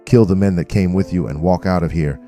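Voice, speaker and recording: Man acting a grave watchful crew member, very low pitch, dark tone, deliberate first-person drama narration, faint music. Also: natural voice. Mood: grim, threatening.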